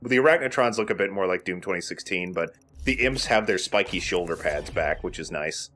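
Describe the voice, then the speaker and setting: Man, lowish voice, very animated, casual gaming commentary, faint game noise behind. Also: brisk. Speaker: gaming let's play host, player.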